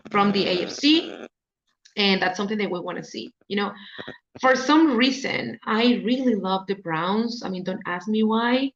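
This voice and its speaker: A woman's voice: mid-range voice, a bit bright. Mexican-accented woman, football podcast host, loose rambling delivery with lots of ums, slightly dull lo-fi audio. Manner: rambling, thinks aloud with many fillers. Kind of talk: casual sports talk.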